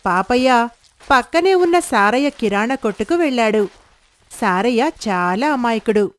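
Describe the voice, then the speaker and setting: A woman's voice: a little high, animated, measured pace. A woman narrating a scripted moral story as the storyteller.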